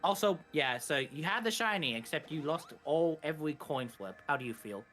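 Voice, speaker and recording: A man's voice: a little high, lively intonation, normal speed, a bit bright, soft background music. A man co-commentating on a video game, casually explaining details as they come.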